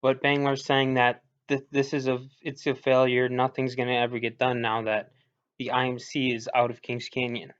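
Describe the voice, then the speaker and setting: Male game commentator, mid-pitched, informal and a little hesitant, explaining as he thinks aloud. Muffled lo-fi sound. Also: monotone, moderate pace, fairly bright tone, smooth. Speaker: gaming video commentator. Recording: dull lo-fi recording.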